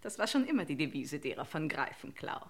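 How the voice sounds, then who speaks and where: A woman's voice: low-pitched, big pitch swings, a little fast, exaggerated voice. An actress playing a gushing, coaxing aristocratic lady hostess with grand politeness in an old radio crime comedy. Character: gushing, over-solicitous aristocratic lady hostess, slyly insistent.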